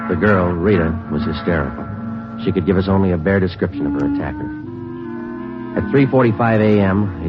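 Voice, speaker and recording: An American man acting a terse, weary police sergeant who narrates a vintage radio crime drama in a matter-of-fact deadpan. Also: rumbling low. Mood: grave, deadpan. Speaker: lead actor and narrator. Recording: muffled old radio recording, music behind.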